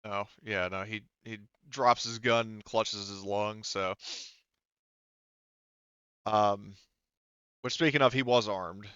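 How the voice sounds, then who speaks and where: A man's voice: lowish voice, steady tone, slow pace, pauses often, a bit bright, clear, resonant tone, no echo, lo-fi sound. A man casually running a tabletop roleplaying game as game master, thinking aloud as he narrates.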